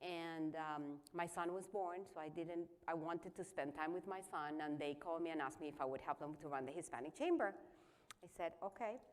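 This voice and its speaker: Low-voiced Colombian woman panelist. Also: moderate pace. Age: 50s. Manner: candid, self-deprecating, chatty. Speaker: panelist, chamber of commerce president. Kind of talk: personal story on a panel.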